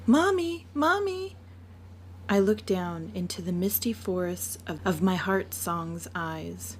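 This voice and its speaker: A woman writer speaking carefully and deliberately, like an amateur reading her own work aloud. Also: lowish voice. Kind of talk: amateur announcement and audiobook narration. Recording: clear steady background noise.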